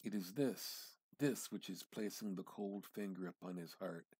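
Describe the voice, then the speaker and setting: A man giving an amateur dramatic reading of literary fiction, theatrical and halting, with pauses and emphatic repetition. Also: low-pitched, a little mellow, slightly rough, no room sound. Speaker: amateur reader.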